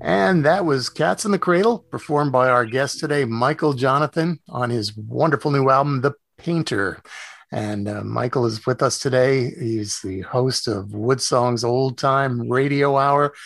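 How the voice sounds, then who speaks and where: Male radio interviewer, gravelly fry, close dry sound, halting delivery. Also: mid-range voice, fairly bright tone, no room sound. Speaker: radio host.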